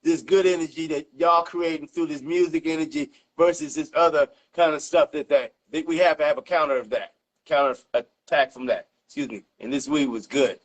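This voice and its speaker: A man's voice: fairly high pitch, fairly even intonation, brisk, low-quality recording. A man, hosting an interview as loose, slangy, profanity-sprinkled chat. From South Central Los Angeles, United States. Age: 50s. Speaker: interview host. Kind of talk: casual chat.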